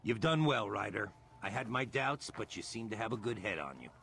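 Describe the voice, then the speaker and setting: An actor playing an intense rebel scientist in a video game, a defiant mentor with a gravelly voice. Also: male voice, lowish voice, big pitch swings, a little fast, pushed and bright, slight background noise, heavily acted. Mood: measured approval. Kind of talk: dramatic video game dialogue.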